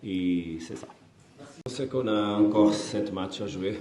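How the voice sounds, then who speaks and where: Male coach, Portuguese accent, non-native French; lowish, mellow voice; measured, halting delivery; echoey press room. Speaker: football coach. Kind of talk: post-match press conference.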